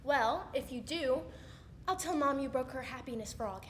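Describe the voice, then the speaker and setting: A woman performing an amateur monologue as an excitable teenage girl, gushing and wheedling her sibling dramatically. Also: sits high, moderate pace, slight background noise, voice pushed down. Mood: teasing, bargaining.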